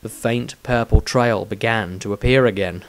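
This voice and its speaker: A man reading his own fantasy story as dramatic scripted narration, in a measured, suspenseful storytelling manner. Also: fairly low pitch, no room sound, low-quality recording.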